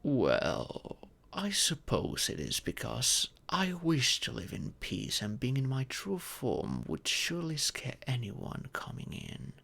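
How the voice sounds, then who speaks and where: A gentle old dragon in humanoid form, acted by a man, speaks slowly with a creaky edge. The pitch swings widely through formal, patient explanations, as a doting parent would give in an audio roleplay. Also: wildly swooping pitch, exaggerated voice. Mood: pensive, grave. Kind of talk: scripted audio roleplay monologue.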